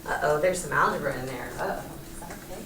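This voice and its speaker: A woman's voice: sits low, quick pace. Woman teaching in echoey classroom. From Texas. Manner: lively, playful, coaxing students. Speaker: high school geometry teacher. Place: classroom, recorded from the back. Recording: echoey classroom, faint room noise.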